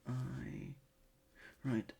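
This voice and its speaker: A man who is not a native English speaker, chatting softly in his bedroom for ASMR, rambling and often losing his thread. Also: mid-range voice, huge pitch swings, drawn-out words, faint music behind. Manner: rambling, scatterbrained, often restarting; mostly whispered, soft and unvoiced. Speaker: ASMR creator. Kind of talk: soft-spoken ASMR chat. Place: bedroom at a desk. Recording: home recording with clear background noise. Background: crinkling and crumpling of objects.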